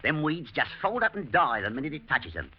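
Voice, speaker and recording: Fussy working-class English gardener, animated. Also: male voice, fairly high pitch, a little fast, slightly rough. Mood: grumbling, indignant. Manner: stammering, deferential. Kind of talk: 1940s radio acting. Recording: muffled, noisy vintage radio drama recording.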